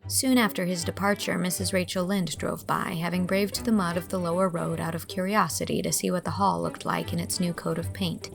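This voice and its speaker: A woman's voice: on the low side, fairly even intonation, a little fast, vocal fry, few pauses, faint music behind. A woman reading scripted narration for a classic storybook.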